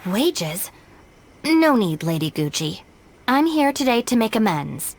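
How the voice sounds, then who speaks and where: A woman's voice: on the high side, big pitch swings, slow pace, a little hiss or hum, heavily acted. A woman voicing a guarded, no-nonsense young woman in a video game, reserved and matter-of-fact. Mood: polite, firm.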